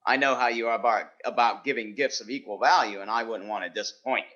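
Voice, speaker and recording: A man's voice: medium pitch, quick pace, sharp tone, muffled recording, lightly acted. An actor playing a naive, earnest, bashful male biology teacher in 1940s radio sitcom style, hesitant and literal-minded. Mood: flustered, earnest.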